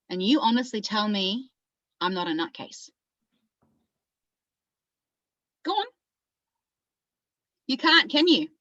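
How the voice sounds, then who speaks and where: Actress as Australian female psychiatric patient, agitated, halting repetitions, bright forceful tone, dry muffled recording, dramatic monologue. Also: mid-range voice, no echo. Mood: bitter, accusing. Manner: volatile, confrontational, halting and repeating.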